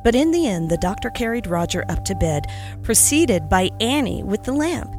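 A woman narrates a classic story aloud with expressive, lilting delivery. Her pitch moves in a lively way through each sentence. Quiet music plays in the background. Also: normal speed. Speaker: podcast host and story narrator.

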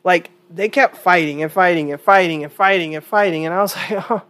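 A woman's voice: on the low side, fairly quick, fairly bright tone. A woman hosting her own opinion podcast in a casual, chatty monologue, sounding stuffy from a cold and repeating points for emphasis. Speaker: podcast host.

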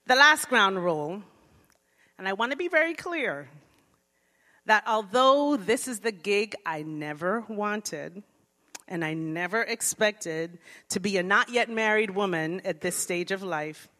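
A woman from Jamaica addresses a church congregation in a lively, direct way. Her voice is bright, and the long reverb of the sanctuary trails after each phrase. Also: mid-range voice, measured pace. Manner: lively, engages congregation, softens into prayer. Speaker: guest speaker. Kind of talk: church sermon talk. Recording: long reverb of a large hall.

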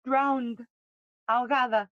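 Slow, high-voiced mournful queen. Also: steady tone, no room sound, dull audio. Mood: mournful, lyrical. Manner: slow, poetic, elegiac. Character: mournful, lyrical queen bringing word of death. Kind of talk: bilingual Shakespearean audio play acting.

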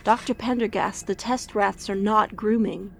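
A woman's voice: mid-range voice, fairly even intonation, moderate pace, gravelly, soft background music, natural voice. An actress playing an earnest female lab assistant in an audio drama.

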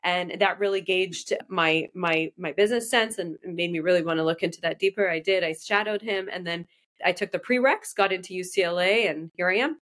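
Low-voiced woman dentist, quick restarts. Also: fairly bright tone. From Iraq. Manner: fast, restarting, self-correcting speech. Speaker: dentist guest. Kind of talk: casual interview answers.

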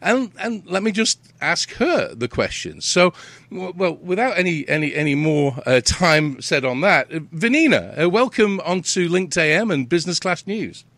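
A man's voice: fairly high pitch, very animated, moderate pace, fairly bright tone, no echo. A British man hosting a casual interview, rambling, repeating words and restarting sentences. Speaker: host.

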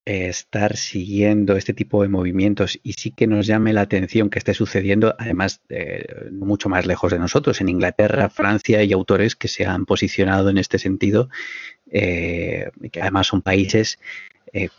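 Brief: male podcast guest from Spain, low gravelly voice, measured and hesitant, muffled lo-fi sound. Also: flat delivery, no room sound. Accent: Spanish from Spain. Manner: measured, thoughtful, many hesitations. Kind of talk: casual podcast conversation. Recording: dull, muffled dry audio.

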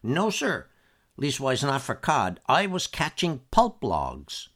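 A man's voice: medium pitch, wildly swooping pitch, slow pace, fairly bright tone, heavily acted. A man voicing a wiry, stubborn old fisherman in his nineties with a sly, grumbling manner. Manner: sly, cantankerous, dialect speech.

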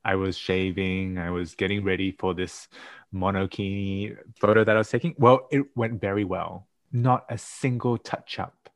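Playful, camp low-voiced man podcaster. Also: fairly even intonation, no echo. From Australia. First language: Mandarin. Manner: camp, playful, quick to laugh, mock-dramatic. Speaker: podcast co-host. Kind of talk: casual podcast chat.

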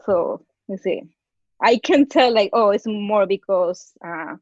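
A woman's voice: mid-range voice, takes it slowly, darkish tone, no echo, highs cut off. A woman from Ecuador with a Latin American Spanish accent, a guest telling a personal story in a casual interview, with many restarts. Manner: storytelling, hesitant restarts, acts out quotes. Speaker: guest, para-rower.

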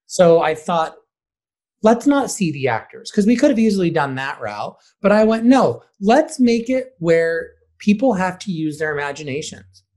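A man answering interview questions conversationally as a high school theater director, stressing points by repeating words. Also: very animated, moderate pace, darkish tone.

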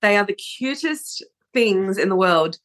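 A woman's voice: medium pitch, expressive, a little slow, a bit bright. A woman, chatting casually as co-host of a TV review. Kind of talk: casual chat.

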